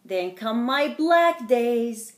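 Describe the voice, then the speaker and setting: A middle-aged woman reading a picture book aloud to young children in a theatrical, deliberate way, as a storytime host. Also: fairly high pitch, expressive. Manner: theatrical, slow and deliberate, addressing small children. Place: indoor wood-panelled living room. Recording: roomy, echoing home recording.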